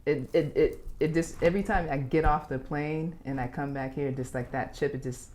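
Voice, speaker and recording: Mujer de voz muy grave que ríe fácil. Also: female voice, low voice, normal speed. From South Florida. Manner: laughs easily, frank and animated. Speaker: guest. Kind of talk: casual interview chat.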